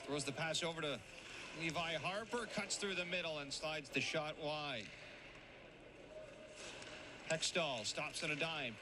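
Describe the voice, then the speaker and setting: Brisk play-by-play man, noisy arena. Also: fairly high pitch. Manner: brisk, running call of the play. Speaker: play-by-play commentator. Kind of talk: hockey play-by-play commentary. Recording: broadcast with loud arena noise.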